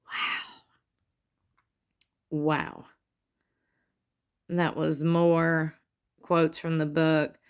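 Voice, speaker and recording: A woman, commenting on a reality show, reading fan posts aloud and adding wry asides while sitting in a squeaky chair at home. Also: smooth. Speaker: commentary vlogger.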